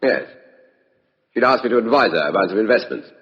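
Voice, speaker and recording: A man's voice: fairly low pitch, lively intonation, a little fast, close to an everyday voice. An actor playing a breezy, evasive British ex-army major and con man, with clipped upper-class slang, in a 1950s radio drama. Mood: defensive, evasive. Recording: muffled, reverberant vintage radio recording.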